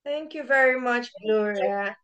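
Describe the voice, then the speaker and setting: A woman's voice: on the high side, a little slow, muffled recording. Chatty woman hosting a video call. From Nigeria. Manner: chatty and excitable, repeats words when starting sentences. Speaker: lecture chair and chief speaker. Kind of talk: casual hosting of an online lecture.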